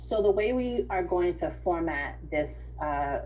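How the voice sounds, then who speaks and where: Lower-voiced woman, explanatory, faint hum. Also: animated, measured pace, warm tone, rough recording. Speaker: casting director. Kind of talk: explaining at an online audition. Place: online video call.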